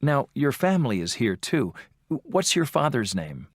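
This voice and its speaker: An adult voice playing an interviewer in a scripted textbook dialogue, asking friendly questions with measured delivery. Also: mid-range voice, big pitch swings, husky, dry recording, clean studio sound. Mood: plain.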